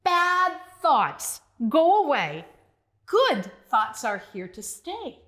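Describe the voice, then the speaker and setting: A woman's voice: high-pitched, very animated, very slow, a bit bright, full character voice. A woman voicing a comforting, encouraging male animal mentor in a children's storybook, coaching with emphasis. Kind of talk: children's storybook read-aloud.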